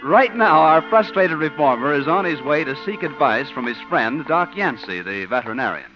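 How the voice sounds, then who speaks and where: A man's voice: a little high, expressive, moderate pace. A man announcing and narrating a scripted 1950s radio show. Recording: muffled old radio broadcast. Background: music.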